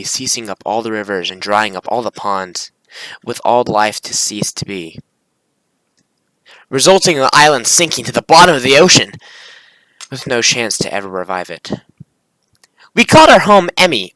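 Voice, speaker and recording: Young male video creator, forceful bright tone, dry close sound, casual rambling delivery with self-corrections. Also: medium pitch, no echo, low-quality recording. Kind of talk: casual screen-recording commentary, reading script aloud.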